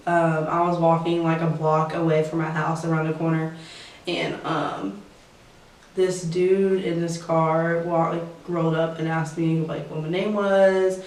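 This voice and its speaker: A nineteen-year-old woman from Kentucky, telling a story hesitantly with many pauses and fillers. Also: low-pitched, flat delivery, a little mellow, heavy reverb, far from the mic, poor audio. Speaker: interviewee.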